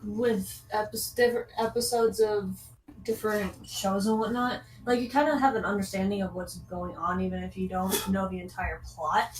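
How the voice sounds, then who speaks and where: A woman's voice: mid-range voice, fairly even intonation, moderate pace. A woman giving her opinions casually as a panelist in a group chat. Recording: distant echoey mic, voices around.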